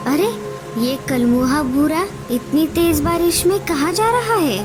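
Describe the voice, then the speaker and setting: A woman voicing a sharp-tongued, nagging female bird, a wife and mother, in a cartoon. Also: high voice, measured pace, music in the background. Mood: scornful. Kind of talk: Hindi cartoon dialogue acting.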